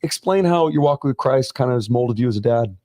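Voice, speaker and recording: A man in his thirties hosting an easygoing conversation, asking sincere questions and dropping in casual fillers like "man" and "like". His voice is dark and mellow. The sound is close and dry. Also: medium pitch, a little fast, dry recording. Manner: relaxed, earnest questions with frequent "man" and "like". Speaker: host.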